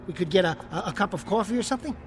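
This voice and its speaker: A man's voice: high-pitched, animated, very fast, slight background noise. A male actor playing a nervous, haunted ventriloquist in a radio play, stammering and insistent. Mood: nervous, coaxing. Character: jittery, haunted male ventriloquist pleading for company.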